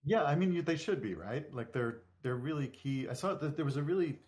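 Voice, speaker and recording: A man's voice: fairly high pitch, animated, a little mellow. A man moderating a workshop discussion, chatting in a friendly way and agreeing often. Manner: friendly, chatty, with quick agreeing back-channel sounds. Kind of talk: casual panel discussion.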